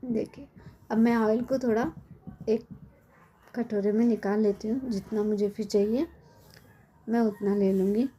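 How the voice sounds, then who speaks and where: A middle-aged woman with a hoarse, cold-worn voice, chatting casually as she explains a home recipe step by step in her kitchen. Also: mid-range voice, steady tone, unhurried, pauses often, mellow. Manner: chatty, explains step by step with frequent tag questions. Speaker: cooking channel host. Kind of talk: casual cooking tutorial.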